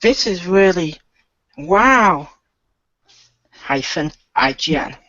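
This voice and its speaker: A woman's voice: on the low side, lively intonation, slow, fairly bright tone, no echo, full character voice. A woman playing an eager, naive, friendly young adventurer in an improvised radio play, childlike and hesitant. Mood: curious, hesitant. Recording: dull lo-fi voice recording.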